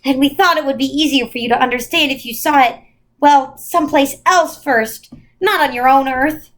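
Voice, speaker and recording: A gentle, comforting old lady from a children's storybook, played by a woman reader. Her voice is high and motherly, and she chats along reassuringly like a fond elder. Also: moderate pace. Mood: gentle, regretful. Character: kindly, comforting, chatty old celestial woman.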